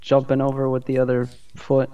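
A man's voice: medium pitch, monotone, fairly quick, dull audio, crowd noise. A man co-hosting a casual podcast, throwing in wry jokes and asides. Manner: wry, joking interjections. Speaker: podcast co-host. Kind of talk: casual chat with jokey asides.

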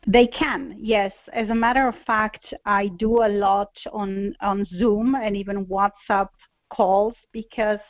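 Lady talking gently on a call, dull dry sound. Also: medium pitch, steady tone, slow, no echo. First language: Spanish. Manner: gentle, earnest, with small laughs. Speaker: guest. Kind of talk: interview over a call.

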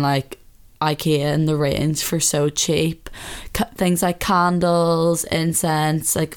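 Low, slightly husky chatty woman. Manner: chatty, relaxed, lots of fillers. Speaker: podcast host. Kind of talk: casual chat. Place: her bedroom, sitting on bed.

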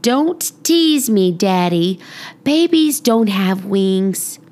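A woman's voice: medium pitch, animated, extremely slow. A woman voicing an eager, literal-minded little schoolgirl in a storybook, exclaiming and inquisitive. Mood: questioning. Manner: bright-eyed, exclaiming, inquisitive.

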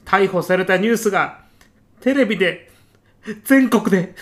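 A man's voice: sits high, lively intonation, normal speed. A man in a comedic radio drama playing a meek, hapless junior businessman, deferential and hesitant but prone to blunt exasperation. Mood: furious, exasperated.

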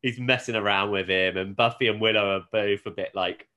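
A man's voice: fairly low pitch, steady tone, brisk, pushed and bright. Rambling man in a casual chat. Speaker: podcast co-host recapping a TV show. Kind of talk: casual chat, episode recap.

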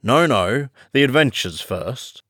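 Man doing male griffin creature, storybook, gruff, solemn, impatient, brusque, matter-of-fact, dry sound. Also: low-pitched, a little slow, fairly bright tone, no room sound. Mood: brisk, impatient. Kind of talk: children's storybook character voice.

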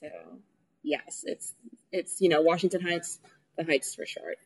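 A woman's voice: lowish voice, steady tone, measured pace, slightly rough, low-quality recording. A young woman from New York City, chatting easily in an interview, laughing often and using plenty of fillers. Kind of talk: casual interview conversation.

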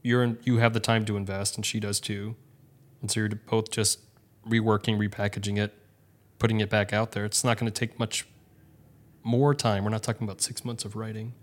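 A man's voice: fairly low pitch, little pitch movement, fairly quick. A man co-hosting a podcast, chatting casually in a reassuring, self-deprecating way. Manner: reassuring, self-deprecating, restarts his sentences. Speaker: podcast co-host. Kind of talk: casual chat.

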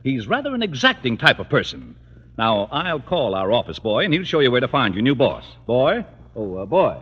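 Husky, animated office manager. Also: male voice, medium pitch, moderate pace. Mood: formal, cordial. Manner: stiff, officious politeness. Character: formal, cordial male personnel manager. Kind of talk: 1950s radio sitcom acting. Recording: dull, reverberant old radio recording.

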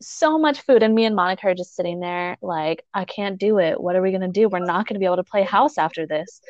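A woman co-hosting a podcast in casual chat, relaxed and teasing, laughing along. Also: mid-range voice, fast, dry recording, dull audio. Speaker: podcast co-host.

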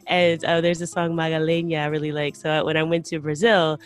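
Podcast host: woman with a lowish voice, chatty and casual, faint music behind. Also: level tone, a little fast, smooth. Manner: friendly, chatty, many fillers. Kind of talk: casual interview chat.

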